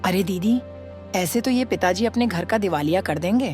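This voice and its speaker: A woman's voice: medium pitch, lively intonation, normal speed, darkish tone, soft background music, close to an everyday voice. A woman playing a scheming, complaining young daughter-in-law in a cartoon story. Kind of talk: Hindi cartoon moral story dialogue.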